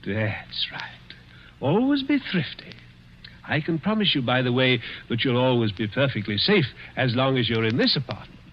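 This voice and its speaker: A man's voice: medium pitch, big pitch swings, moderate pace, slight background noise, close to an everyday voice. A man playing a gloating sinister rich man. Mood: menacing. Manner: sly, taunting, chuckling. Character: rich dying man, cruel, gloating gambler. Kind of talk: 1940s radio acting. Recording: dull old radio broadcast.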